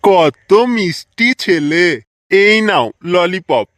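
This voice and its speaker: A man voicing a greedy, lecherous small-time kidnapper in an amateur comic cartoon, with a slow, gloating drawl. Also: high voice, a bit bright. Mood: falsely sweet. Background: faint music.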